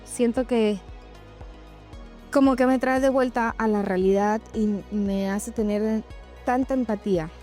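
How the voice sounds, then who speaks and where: A woman's voice: medium pitch, a little mellow. A woman from Venezuela, giving a candid, reflective personal monologue to her followers. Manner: candid, reflective, addressing listeners directly. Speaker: host of a personal podcast or vlog. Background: background music.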